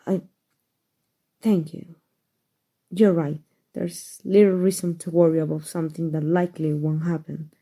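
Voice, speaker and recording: A woman playing a powerful, aristocratic vampire in an ASMR roleplay, with formal, measured, protective speech. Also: sits low, little pitch movement, mellow. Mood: protective, tender.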